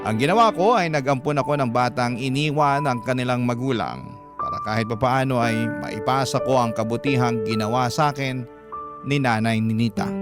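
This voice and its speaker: A man narrating a listener's letter in the style of a classic radio drama, in a steady storytelling cadence. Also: mid-range voice. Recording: music bed under voice. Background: loud music.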